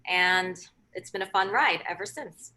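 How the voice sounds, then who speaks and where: A woman's voice: on the low side, measured pace. A woman in her thirties, born in Korea and raised in Hong Kong, speaking conversationally as a political correspondent on a panel. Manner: warm, conversational, with fillers. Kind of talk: panel discussion answers.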